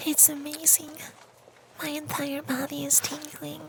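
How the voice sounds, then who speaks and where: A performer as a high-pitched, hushed femboy vampire. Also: monotone, a little slow, background noise. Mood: awed. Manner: hushed, breathy near-whisper, lingering delivery. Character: gentle, sweet, centuries-old femboy vampire. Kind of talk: ASMR fantasy roleplay.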